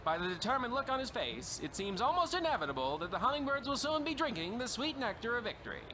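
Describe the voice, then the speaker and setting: An actor playing an excitable, florid old-time radio baseball announcer in a parody of sports commentary. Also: male voice, extremely high pitch, fast, background noise. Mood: suspenseful. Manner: florid, suspenseful.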